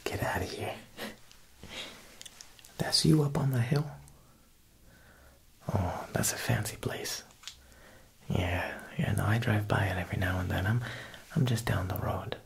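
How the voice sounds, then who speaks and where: A young man playing an Italian mob boss tailor in a whispered ASMR roleplay, with an Italian-American accent and a smooth, insinuating manner. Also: fairly low pitch, full character voice. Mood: quietly menacing. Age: young adult. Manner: slow, hushed, insinuating, with pauses.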